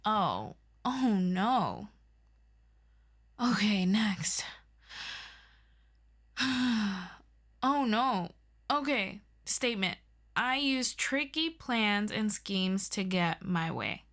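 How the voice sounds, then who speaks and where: An earnest young superhero sidekick acted by a woman in an audio roleplay, talking straight to a close friend like a patient tutor. The voice is medium in pitch and takes its time, yet stays chatty, excitable and expressive. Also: very slow, long pauses, heavily acted. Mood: uneasy, trying patience. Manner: chatty and expressive, with interjections and sighs. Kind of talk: ASMR audio roleplay, scripted one-sided conversation.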